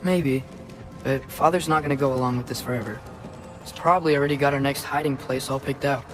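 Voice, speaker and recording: A man's voice: medium pitch, steady tone, fairly quick, music in the background, natural voice. A man playing an earnest, restless teenage boy in a video game, with a casual way of talking. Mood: frustrated. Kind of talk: naturalistic video game acting.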